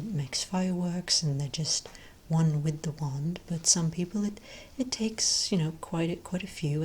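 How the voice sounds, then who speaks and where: Soft, breathy, low-voiced woman in ASMR role play. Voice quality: soft, breathy, often near-whispered. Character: friendly long-established magic wand shop owner.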